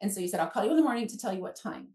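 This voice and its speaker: A woman's voice: mid-range voice, expressive, very fast, mellow. A chatty woman in her fifties tells personal stories as a podcast guest, acting out remembered bits of dialogue. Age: 50s. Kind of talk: animated personal storytelling. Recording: echoey room.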